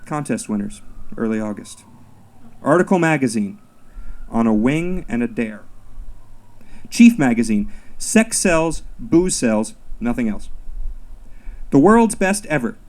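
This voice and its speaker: A man reading his own first-person comic essay at a live literary reading, in measured, deliberate phrases with mock-serious emphasis. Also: medium pitch, big pitch swings, a little mellow. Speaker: author reading own work.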